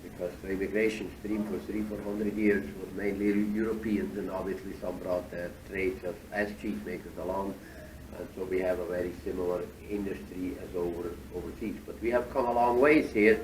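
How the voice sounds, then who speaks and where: A man from Switzerland, guiding a tour through a cheese factory and explaining things at an unhurried pace with many fillers. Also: low voice, fairly even intonation, a little mellow. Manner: unhurried, many fillers, slightly foreign phrasing. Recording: echoey factory hall, distant mic, machinery noise.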